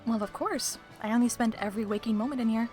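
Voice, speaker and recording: A woman's voice: fairly high pitch, fast, a little mellow, faint music behind, close to an everyday voice. A woman playing a sly, self-assured librarian with arch, playful, theatrical phrasing in an audio roleplay. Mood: playful curiosity.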